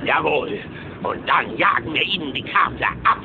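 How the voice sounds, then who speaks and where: Gold-mad cartoon villain, menacing croaky voice, drawn out, swoops a lot, tinny cassette. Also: male voice, medium pitch, animated, unhurried. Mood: greedy, furious. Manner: menacing, fanatical. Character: gold-crazed, dangerous villainous cartoon captain.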